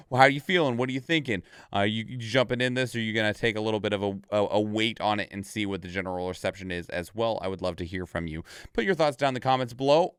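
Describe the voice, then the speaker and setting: A man's voice: medium pitch, a bit bright, smooth, dry recording, studio quality. A man giving casual, off-the-cuff reaction commentary as a streamer, rambling and thinking aloud in stop-and-start phrases. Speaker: video creator and streamer reacting to game trailers.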